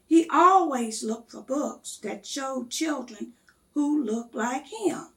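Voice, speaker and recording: A woman reading a children's story aloud in a measured, expressive storytelling manner. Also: high voice, gravelly, low-quality recording.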